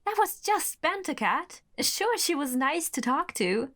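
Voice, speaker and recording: A woman's voice: high voice, very animated, measured pace, pushed and bright, exaggerated voice. A woman playing an obsessive, possessive and volatile lover with sweet menace in an audio horror drama. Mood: defensive, disbelieving.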